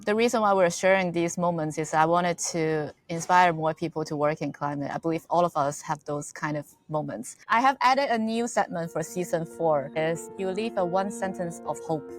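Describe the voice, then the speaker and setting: A woman's voice: on the low side, moderate pace, few pauses, soft background music. A woman hosting a podcast interview, eagerly restating ideas to check she understands them. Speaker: podcast host. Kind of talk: casual interview.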